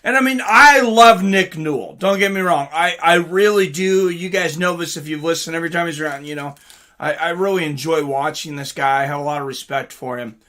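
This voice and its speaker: A man with a fairly high, very bright and forward voice hosts a live reaction stream. He talks in a chatty, informal way, greeting viewers, reading out chat and giving casual fight commentary. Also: fairly quick.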